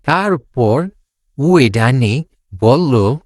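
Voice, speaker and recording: A man's voice: mid-range voice, lively intonation, extremely slow, dry recording, clean studio sound. A man narrating a fairy tale in a simple, unpolished storytelling way, repeating phrases as he goes.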